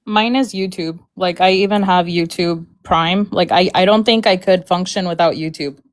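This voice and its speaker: A woman's voice: on the low side, normal speed. A woman guest on a casual livestream chat, frank and rambling, often trailing off mid-thought.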